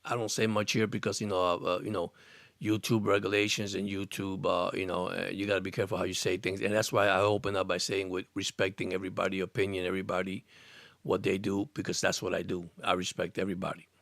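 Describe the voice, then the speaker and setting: A man's voice: deep voice, level tone, fast, creaky, fairly bright tone, no echo. A man of about sixty from Cuba, raised in Miami, hosting a casual sports live stream, chatting in a rambling, earnest way and answering viewer comments. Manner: rambling, repeats words and restarts, earnest. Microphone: studio microphone.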